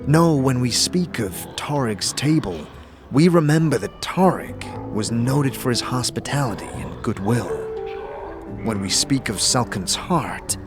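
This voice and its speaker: Guy doing a solemn old-world githzerai teacher, slow formal proverbs, audio drama w/ music behind. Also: mid-range voice, lively intonation, lightly acted. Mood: patient, instructive. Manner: formal, deliberate, archaic phrasing. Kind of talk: fantasy audio drama acting.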